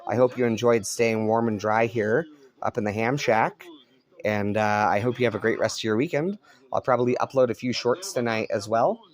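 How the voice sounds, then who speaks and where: A man's voice: lowish voice, steady tone, normal speed. A man chatting casually and thinking aloud as a hobbyist host, in a laid-back way. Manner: laid-back, musing aloud in short remarks. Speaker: vlog host, shortwave radio hobbyist. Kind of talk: casual chat while scanning the radio. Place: home radio room, indoors.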